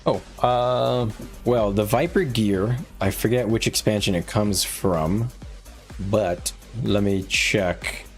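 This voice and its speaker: A man with a fairly low voice chats casually on a live game stream. He thinks aloud and gives advice in an informal, conversational way, with clear music playing behind him. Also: slow. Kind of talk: casual live-stream chat, giving gameplay advice to viewers.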